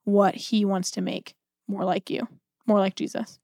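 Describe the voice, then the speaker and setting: A woman with a medium-pitched voice speaks as a podcast co-host in a dry, close-sounding recording. She talks earnestly and conversationally, often framing her points as questions or imagined quotes. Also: level tone, unhurried, gravelly, darkish tone, no room sound. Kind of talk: conversational religious discussion.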